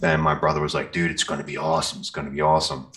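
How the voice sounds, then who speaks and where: Middle-aged male interview guest with an extremely low voice, loose and rambling, telling anecdotes casually. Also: little pitch movement, very fast, a bit bright. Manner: informal, rambling. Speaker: interview guest, metal singer and guitarist. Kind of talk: casual conversational storytelling.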